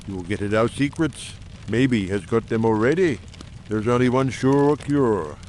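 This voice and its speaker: A man's voice: medium pitch, unhurried, darkish tone, pitched below the performer's own voice, natural voice. Earnest conspirator played by a man, noisy backdrop. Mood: confident, urgent. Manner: earnest, persuasive, formal speechmaking. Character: earnest, shrewd, confident lodge brother in coal-town secret society. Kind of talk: audiobook dramatic reading.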